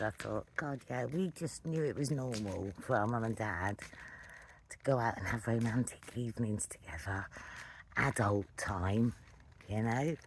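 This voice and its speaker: A middle-aged storyteller rambles casually with a playful, wandering delivery. The voice sits at a middling pitch between male and female ranges. There is clear noise and some clicking in the recording. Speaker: vlogger telling a personal story. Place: outdoors at night beside a fire.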